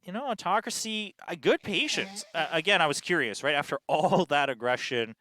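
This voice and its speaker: A man's voice: a little high, animated, a little fast, dry recording. A man giving chatty, analytical live commentary on an esports match, laughing often. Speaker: esports caster. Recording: commentary over loud game music.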